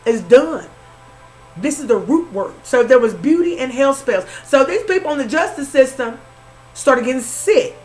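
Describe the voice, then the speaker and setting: A woman's voice: high voice, expressive, moderate pace, a little mellow, soft background music, low-quality recording. A woman with a Southern accent doing an informal tarot reading, repeating herself and calling the listener honey. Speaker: spiritual reader.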